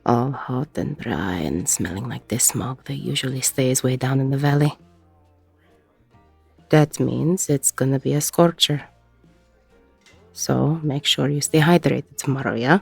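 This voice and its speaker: A woman playing a weary, protective middle-aged ex-con bar owner in an intimate audio roleplay, with a husky, gravelly voice. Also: extremely low pitch, unhurried, long pauses, a little mellow, no room sound, faint music behind, full character voice. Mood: weary, fond.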